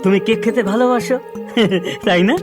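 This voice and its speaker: A man's voice: high-pitched, moderate pace, lightly acted. A man voicing a bullying, wheedling villain in a horror cartoon, insistent and commanding. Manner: insistent, commanding, repeating orders. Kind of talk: Bengali horror cartoon dialogue. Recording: music bed behind the voice.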